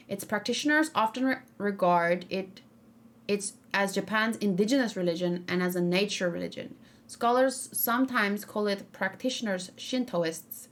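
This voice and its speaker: A young adult woman chatting casually on a livestream as she reacts to a game trailer, frank and rambling, with lots of fillers and restarts. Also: medium pitch.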